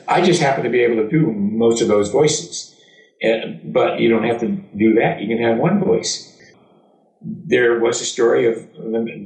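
Older American male raconteur, mid pitch, emphatic with dramatic pauses, picked up from a distance in an echoey room. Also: gravelly, a little mellow. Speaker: voice actor and former radio announcer. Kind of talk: autobiographical storytelling to an audience.

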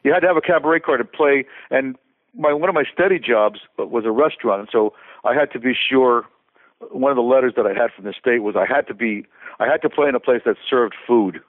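A man's voice: medium pitch, brisk, dry recording. An older man from Connecticut talks through a tinny, phone-like line that sounds dry and close. His talk rambles along, full of restarts and small fillers, in a casual interview manner. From Connecticut, USA. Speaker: guest, conductor and composer.

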